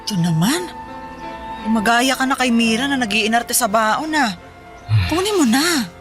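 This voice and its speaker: A woman's voice: on the high side, animated, a little slow. A woman voicing a fifty-year-old housewife and mother who scolds and lectures her family in a radio drama. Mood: hurt. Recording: background music. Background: soft music.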